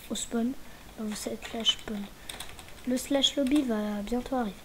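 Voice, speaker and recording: Higher-voiced woman with a cold, hesitant. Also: a little mellow, a little hiss or hum, low-quality recording. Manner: hesitant, restarting sentences, muttering whispered asides. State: a little stuffy from a cold. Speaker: gaming channel host. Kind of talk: casual gameplay tour.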